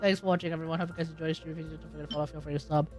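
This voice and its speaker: A woman's voice: sits low, fairly even intonation, rapid-fire, music in the background. A woman streamer chatting casually as she wraps up a stream, rambling with lots of "like" and laughing. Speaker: game streamer.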